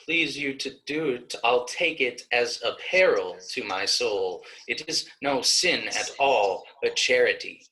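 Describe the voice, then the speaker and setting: Slow, intense devout young woman played by a man. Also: medium pitch, expressive, sharp tone, rough recording. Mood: earnest, resolute. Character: devout, steadfast young woman pleading for her condemned brother. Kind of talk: Shakespearean staged reading.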